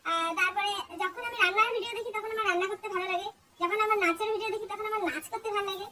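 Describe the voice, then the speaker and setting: A woman speaks quickly in a casual vlog style through a voice-changer filter that makes her extremely high. The sound is distant, with faint noise behind it. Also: bright, forceful tone. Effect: pitch-raised voice-changer filter. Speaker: vlogger.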